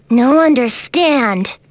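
A woman's voice: high voice, no echo, heavily acted. A woman playing a loyal, childlike girl in a game, speaking in simple broken sentences about herself by name. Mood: upset, confused. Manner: broken grammar, refers to self by name.

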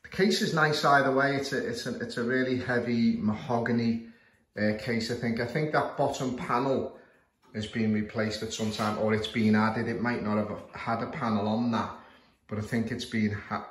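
A man with a Liverpool accent, a clock repairer, talking casually to camera about a clock, hesitant with many fillers and restarts. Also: medium pitch. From Liverpool, England. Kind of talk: casual show-and-tell commentary. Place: room full of clocks, indoors. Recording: echoey room with faint noise.

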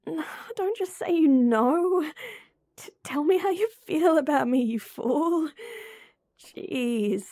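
A woman's voice: high voice, expressive, slow pace, mellow, no room sound, clean studio sound, exaggerated voice. A woman voicing a young woman with a crush on her childhood friend, teasing playfully and muttering whispered asides to herself. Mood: shy confessing. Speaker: voice actor. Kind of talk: romantic roleplay audio.

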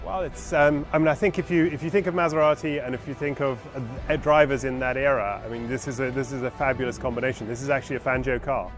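Male auction expert, mid-pitched, discursive interview explanations, rephrasing as he goes, clear music bed. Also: fairly even intonation, fast, hardly any pauses. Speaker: auction house car specialist. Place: large tent with cars on display.

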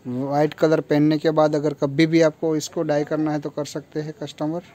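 A man's voice: fairly high pitch, completely flat delivery, moderate pace, dark tone. A young man from India in a clothing store, listing garment features in a plain, matter-of-fact showcase, recorded on a handheld phone. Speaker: kurti factory seller. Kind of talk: product showcase walkthrough. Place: clothing store with fabric shelves.

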